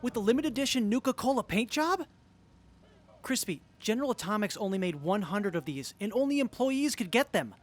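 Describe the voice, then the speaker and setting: An earnest young tinkerer from an audio drama acted by a man. The voice sits high and moves around a lot in pitch. The delivery is sincere, geeky and open. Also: expressive, fairly quick. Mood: excited, delighted. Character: earnest, excitable young tinkerer in armor, sincere and literal-minded.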